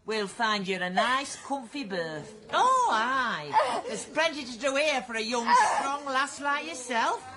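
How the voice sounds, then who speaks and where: Bossy Northern English workhouse matron, high and very animated, sneering, colloquial, period radio drama. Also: female voice, measured pace, very noisy. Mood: gloating, menacing. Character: bossy, gloating female workhouse matron, coarse and mocking.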